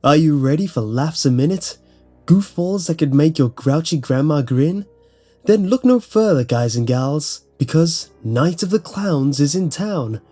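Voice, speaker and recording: A zany carnival clown acted by a male narrator makes an exuberant pitch in a storybook horror tale. The voice is raised and showy, with faint music underneath. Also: lively intonation, measured pace, dark tone. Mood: jolly, hyped. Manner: exuberant, sales-pitch showmanship. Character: zany carnival clown barker advertising a festival.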